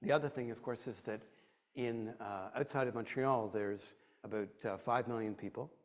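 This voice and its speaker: A man's voice: medium pitch, normal speed. A middle-aged man from Quebec, giving a rousing prepared speech full of emphatic lists, then answering audience questions. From Quebec, Canada. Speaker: advocacy group president giving a speech. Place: library event room. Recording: dull lo-fi recording.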